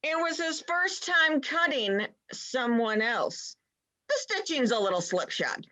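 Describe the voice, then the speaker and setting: A woman as a snide, sharp-voiced rabbit. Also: sits high, very animated, takes it slowly, heavily acted. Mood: sardonic. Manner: sarcastic, mocking. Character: snide, irritable rabbit with biting sarcasm. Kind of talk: dramatized livestream play reading.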